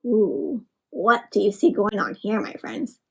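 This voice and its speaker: A woman reading a picture book aloud for children in an animated, encouraging way, addressing them directly with questions and simple explanations. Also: mid-range voice, a little slow, gravelly, husky, rough recording. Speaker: read-aloud storyteller for children.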